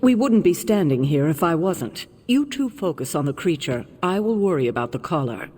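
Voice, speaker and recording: A woman's voice: on the low side, very animated, normal speed, darkish tone. An actress playing a composed, protective older woman who leads and mentors a team in a video game. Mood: reassuring, firm. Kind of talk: video game cutscene acting. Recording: music behind.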